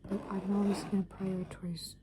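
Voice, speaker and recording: A young woman whispering close to the microphone, rambling softly and casually with plenty of "like" and "um" as she talks. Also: medium pitch, flat delivery, normal speed, noisy background. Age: young adult. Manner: soft unvoiced whisper, rambling with many fillers. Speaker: ASMR creator. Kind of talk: whispered ASMR soft rambling.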